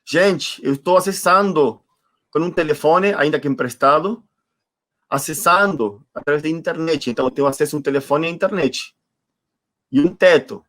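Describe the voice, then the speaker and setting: A man's voice: fairly high pitch, moderate pace, fairly bright tone. A man, reflecting as a guest in an online conversation, searching for words and repeating them for emphasis. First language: Spanish. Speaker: interview guest. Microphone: video call.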